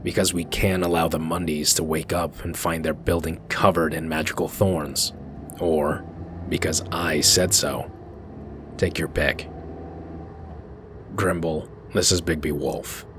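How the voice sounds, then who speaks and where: A man playing a gruff, commanding werewolf detective in a comic dub, curt and threatening, with a gravelly voice. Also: a bass voice, level tone, a little slow, background noise. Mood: brisk, commanding.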